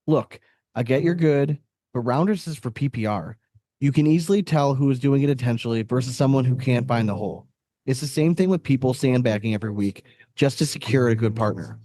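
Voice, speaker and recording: A man's voice: medium pitch, a little fast, warm tone. A man hosting a podcast in a casual, chatty style. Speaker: podcast host.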